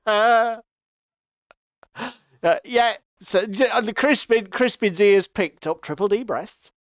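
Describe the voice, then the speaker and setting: A middle-aged Englishman from the East Midlands with a smooth, clean voice, slightly dulled by lo-fi audio and with very little room sound. He hosts a casual stream, greeting chat with swearing and mock exasperation. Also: high voice, takes it slowly, pauses often, no echo. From East Midlands, England. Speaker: livestream host. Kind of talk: casual banter with live chat. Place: small indoor room at home.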